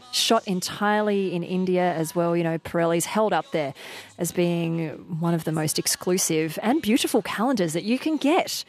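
A woman hosting a morning talkback radio show, chatty and upbeat as she takes listener calls. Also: on the low side. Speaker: radio host. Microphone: studio microphone.

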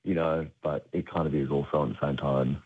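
A young Australian man with a hoarse voice, chatting as a radio guest, rambling with lots of fillers and frequent laughter. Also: very deep voice, fairly even intonation, fairly quick, phone-quality sound. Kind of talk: casual chat.

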